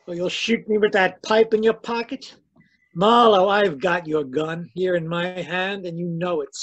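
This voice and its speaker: An actor playing a smug, haughty killer posing as an English lord, with an affected British accent, in a radio detective play. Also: male voice, high voice, fairly even intonation, unhurried, fairly bright tone, muffled recording. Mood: smug, menacing. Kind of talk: 1940s radio detective drama acting.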